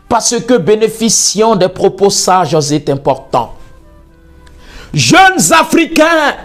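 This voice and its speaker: Slow, dramatic poet with sweeping pitch. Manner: solemn, declamatory, theatrical emphasis. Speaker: slam poet. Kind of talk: dramatic spoken-word poetry recital. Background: music under the voice.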